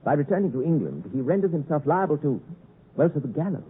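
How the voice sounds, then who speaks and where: An actor playing an anxious young gentleman and loyal friend in a 1950s radio drama, with a nervous manner. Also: on the high side, fast, breathy edge, full character voice. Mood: worried. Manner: animated, nervous. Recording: muffled, noisy 1950s radio broadcast.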